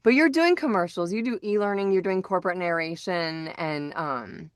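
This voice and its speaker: A woman's voice: fairly low pitch, lively intonation, moderate pace, smooth, no room sound, clean studio sound. A woman chatting casually as a podcast host who also works as a voice actor.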